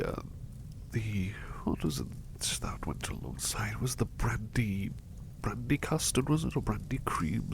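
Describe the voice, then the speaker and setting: A man voicing a sickly young male butler, speaking in a soft, whispery, courteous way close to the mic while resting in bed unwell. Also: on the low side, creaky, full character voice. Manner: courteous and formal, hesitant restarts. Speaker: voice actor. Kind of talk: ASMR roleplay.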